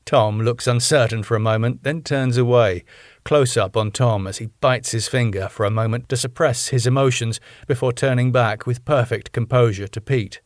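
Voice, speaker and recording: A man reading aloud his own script, calmly narrating scene directions. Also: medium pitch, moderate pace, no echo, studio quality. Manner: plain, even script narration.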